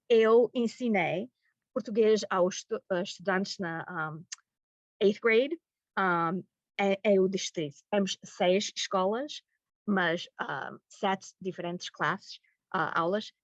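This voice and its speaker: A middle-aged woman from Massachusetts, a teacher presenting informally and haltingly, mixing in words. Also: medium pitch, dry recording. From Massachusetts, United States. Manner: halting, mixes in English words. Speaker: high school language teacher presenting. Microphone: video call. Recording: muffled lo-fi webinar audio.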